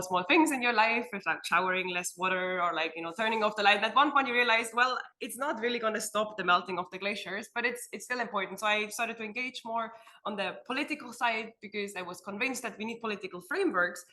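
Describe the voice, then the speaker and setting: A woman's voice: mid-range voice, bright, forceful tone. A young woman from Switzerland giving an earnest panel talk in a conference room, often using fillers like "um" and "like". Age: young adult. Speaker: panel speaker. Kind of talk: roundtable talk.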